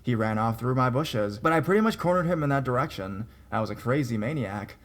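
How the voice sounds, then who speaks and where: A man reads viewer-submitted horror stories aloud at a middle pitch. He keeps a steady storytelling delivery and drops in dry, sarcastic remarks along the way. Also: a little fast. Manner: wry, sarcastic asides. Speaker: narrator. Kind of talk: scripted narration of reader stories.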